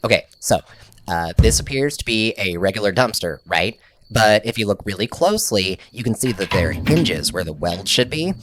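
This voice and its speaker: A man's voice: lowish voice, animated, normal speed, background noise, natural voice. A man playing a cocky, easily exasperated inventor in an audio drama, casual, glib and given to grumbling. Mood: enthusiastic, proud. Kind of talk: scripted audio drama acting.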